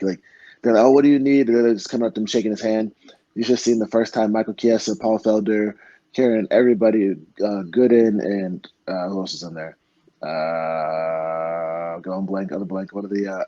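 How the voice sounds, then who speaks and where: A man's voice: lowish voice, dark tone, dry recording, dull audio. A young man from Florida telling earnest, heartfelt stories in a casual interview, full of fillers and restarts. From Florida, United States. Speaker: MMA fighter guest.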